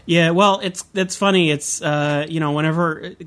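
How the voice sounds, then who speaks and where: A man hosts a podcast in an easygoing, enthusiastic chat. His voice sits fairly high for a man, and he laughs often as he talks. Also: fairly even intonation, moderate pace, a bit bright. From Southern California. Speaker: podcast host.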